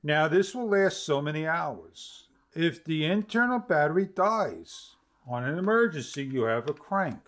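Male product reviewer, fifties, slightly high voice, explaining features step by step in halting phrases. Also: lively intonation, fairly bright tone. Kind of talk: product demonstration to camera. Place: small home studio room, indoors.

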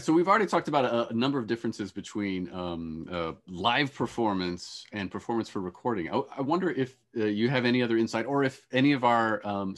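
Lowish-voiced middle-aged male moderator. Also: fairly bright tone. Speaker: moderator, theatre academic. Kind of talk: conversational panel moderating. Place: indoors, on a video call. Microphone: wired earphones on video call.

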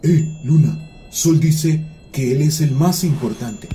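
A man voicing a sly one-eyed giant from the underworld, flattering and goading in a cartoon tale. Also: fairly high pitch, slow. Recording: music behind the voice.

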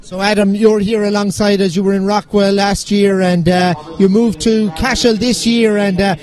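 A man with an Irish accent interviewing players pitchside after a match, holding a handheld mic outdoors in a stadium. He talks in an upbeat, gushing way. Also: level tone, moderate pace, darkish tone. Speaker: sideline interviewer.

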